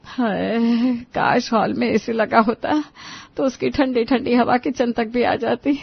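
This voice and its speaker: A woman's voice: on the high side, unhurried, vocal fry, slightly rough, dry recording, lo-fi sound, heavily acted. A woman playing a long-suffering, overworked middle-aged mother-in-law in a cartoon moral story, plaintive and exclamatory. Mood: weary, complaining.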